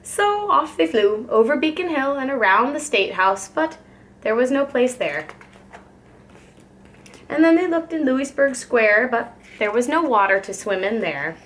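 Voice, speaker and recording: Preschool teacher lady reading a picture book, highish voice, really animated. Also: unhurried, slight background noise. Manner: expressive, clearly paced for young children.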